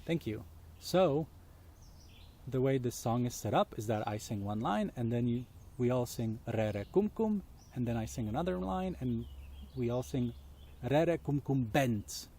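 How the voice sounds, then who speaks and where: A man's voice: medium pitch, lively intonation, unhurried, warm tone. A man tells children's stories outdoors in a simple, inviting way, speaking directly to his young listeners. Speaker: storyteller reading for children. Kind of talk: children's storytelling.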